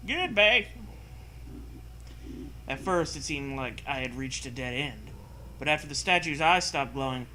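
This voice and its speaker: A man's voice: mid-range voice, animated, unhurried, long pauses, bright, forceful tone, slight background noise, poor audio. A man co-hosting a comedic reading of a story, hammy and dramatic, breaking off for casual joking asides. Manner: hammy, breaks off to riff and joke. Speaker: co-host reading a story aloud.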